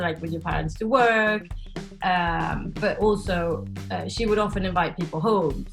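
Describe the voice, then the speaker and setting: A Swedish-raised woman in her forties, a podcast guest chatting casually about her life and laughing often as she tells stories. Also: medium pitch. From Sweden. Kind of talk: casual interview chat.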